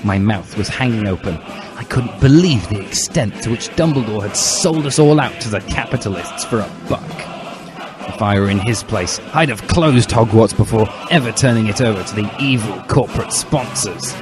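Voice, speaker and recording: A man's voice: fairly low pitch, animated, moderate pace. A man narrating a comic story as a dramatic reading, with solemn, overwrought emphasis. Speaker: narrator, reader. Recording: loud music behind the voice.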